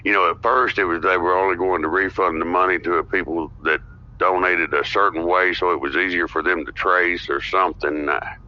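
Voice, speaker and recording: A man's voice: low voice, fairly even intonation, fairly quick. A man talking as a podcast guest in casual political chat. He agrees readily and fills his talk with "you know". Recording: muffled lo-fi with faint noise.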